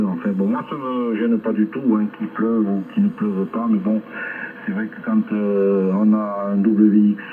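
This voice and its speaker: A man chatting casually as an amateur radio operator over a shortwave link, rambling hesitantly with long filled pauses. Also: fairly low pitch, phone-quality sound. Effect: shortwave ham radio transmission. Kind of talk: casual ham radio contact chat. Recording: band-limited shortwave radio reception.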